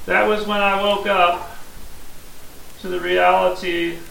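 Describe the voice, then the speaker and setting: A man's voice: sits high, little pitch movement, takes it slowly, vocal fry, pushed and bright. A man, preaching a sermon in a plain-spoken, earnest way and explaining scripture step by step. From Missouri, USA. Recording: echoey room from a distance, faint hiss.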